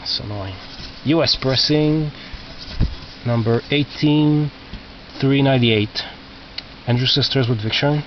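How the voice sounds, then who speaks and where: A man with a medium-pitched voice and English as a second language presents a collection in a casual, halting way. He mutters asides and reads labels aloud. The sound is dull, from a cheap camcorder, with clear background noise. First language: not English. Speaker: record collector. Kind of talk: casual narrated show-and-tell of collection. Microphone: cheap video camera microphone. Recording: muffled cheap video camera, loud noise.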